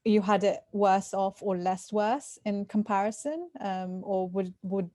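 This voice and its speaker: A woman's voice: medium pitch, measured pace, mellow, no echo, studio quality. A woman hosting an interview, asking questions carefully and tentatively. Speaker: host.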